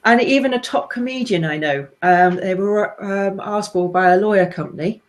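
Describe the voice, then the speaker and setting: A woman's voice: mid-range voice, moderate pace. A woman speaking as a guest in a casual panel discussion, explaining her points steadily and firmly.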